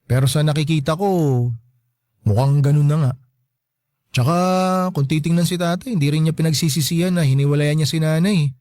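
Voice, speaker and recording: A man's voice: a little high, fairly quick, long pauses, warm tone, no room sound, studio quality, lightly acted. A young man with a Filipino accent acting a hurt, forgiving son and fiancé in a radio drama, earnest and resigned. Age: young adult.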